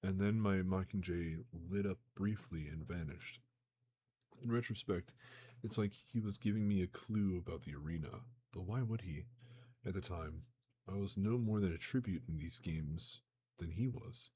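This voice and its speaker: A man with a very low, slightly hoarse voice reads a novel aloud for his live stream. He moves through the passages at a steady pace and drops into loose, slangy chat between them, over a faint hum on the desk mic. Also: moderate pace. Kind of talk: live-streamed book reading with casual asides.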